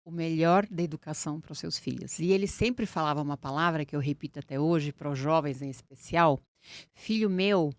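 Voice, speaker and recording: Earnest woman, lowish voice. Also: no echo, clean studio sound. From Portugal. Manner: earnest, deliberate, reflective. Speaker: guest, criminal lawyer. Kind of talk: interview conversation.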